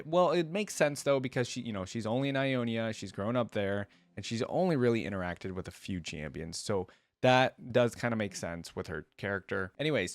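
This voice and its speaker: Guy doing casual reaction commentary, kinda rambly, slightly low voice, dry close sound. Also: no echo. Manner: conversational, rambling, thinking aloud. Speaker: host of a game reaction channel.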